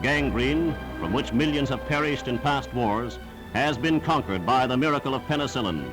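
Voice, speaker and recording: A male newsreel narrator from the 1940s with a mid-pitched voice. He reads a wartime script in a formal, declamatory way. Prominent music plays behind him on the period soundtrack.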